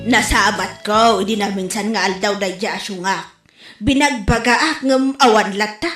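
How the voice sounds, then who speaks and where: A woman's voice: mid-range voice, normal speed, fairly bright tone, faint music behind, lightly acted. A woman playing an exasperated, nagging wife in an Ilocano comedy audio drama, complaining and scolding. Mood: weary complaint. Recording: echoey room.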